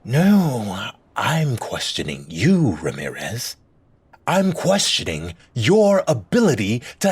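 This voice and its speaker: An actor playing an irritable, scheming, sarcastic mayor in an audio drama, with theatrical emphasis and a husky voice. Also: male voice, high-pitched, exaggerated voice. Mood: menacing. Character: irritable, scheming big-city mayor, self-serving and sarcastic.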